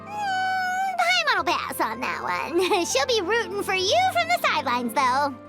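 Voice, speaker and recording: Tiny chatty fairy girl, squeaky, very high, swooping intonation, doubtful, video game cartoon style, music behind. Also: huge pitch swings, a little slow, heavily acted. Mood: reluctant. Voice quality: squeaky, childlike. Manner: talks of self in third person.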